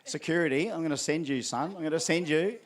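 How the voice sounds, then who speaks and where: A man's voice: a little high, fast talker. An Australian man acting as MC at an outdoor event, handing out prizes with playful, teasing crowd banter.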